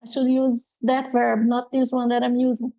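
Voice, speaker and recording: Brazilian-accented woman, high-pitched, laughing. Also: completely flat delivery, normal speed, mellow, lo-fi sound. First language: Portuguese. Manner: laughs often while telling stories. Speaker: guest. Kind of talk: casual interview chat.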